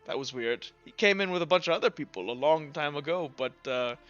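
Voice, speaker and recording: Man as tabletop-game gardener: weary, self-effacing, rambling, bright clear tone. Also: fairly high pitch, animated, fairly quick, highs cut off, soft background music. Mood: puzzled, reminiscing. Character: weary, modest male college gardener with a flask.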